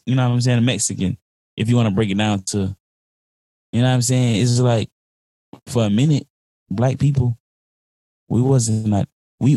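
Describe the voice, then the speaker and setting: A man's voice: mid-range voice, mellow, no echo, rough recording. A man in a casual podcast conversation, talking in a heated, rambling way and often saying "you know what I'm saying.".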